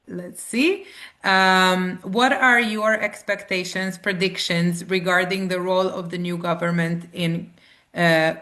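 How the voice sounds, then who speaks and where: Unhurried woman moderating an online Q&A. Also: fairly low pitch, fairly bright tone. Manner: reads out audience questions, polite thanks, light fillers. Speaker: event moderator.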